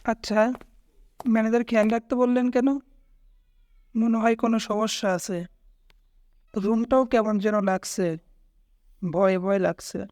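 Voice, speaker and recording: A woman's voice: on the high side, fairly even intonation, measured pace, pauses often, a little mellow, no room sound, studio quality, natural voice. A woman playing an uneasy young traveller in a ghost-story cartoon.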